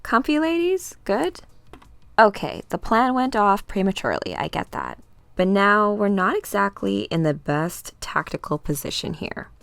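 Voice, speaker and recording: A woman's voice: mid-range voice, animated, a little slow, gravelly, fairly bright tone, no echo. A woman voicing a cocky male villain's henchman in a comic book reading, slangy, mocking and menacing. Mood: smug, threatening.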